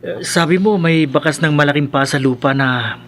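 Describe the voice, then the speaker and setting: A man's voice: a little high, moderate pace, natural voice. An actor playing a young man's inquisitive friend in a radio drama.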